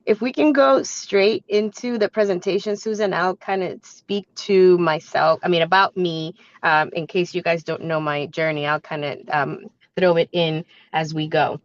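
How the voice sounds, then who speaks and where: A woman with a slightly hoarse voice from a cold, presenting like a business coach in a conversational, instructive way. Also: fairly low pitch, steady tone, normal speed, hardly any pauses. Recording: echoey room, dull muffled sound.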